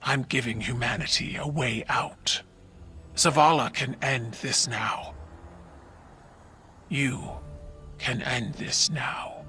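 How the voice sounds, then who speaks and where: An actor playing a proud, imperious alien war leader in a video game, speaking in a deliberate, haughty way. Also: male voice, mid-range voice, steady tone, bright, forceful tone. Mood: haughty, persuasive. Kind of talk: dramatic cinematic game dialogue. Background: background music.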